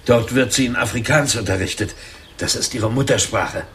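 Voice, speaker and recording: An actor playing a frightened, deferential detainee under interrogation in a German radio drama, hesitant, submissive and pleading. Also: male voice, medium pitch, normal speed, fairly bright tone, slight background noise, natural voice.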